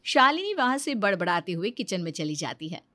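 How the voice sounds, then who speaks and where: A woman's voice: mid-range voice, sing-song, a little fast, bright, forceful tone. A woman reading scripted narration for a moral story.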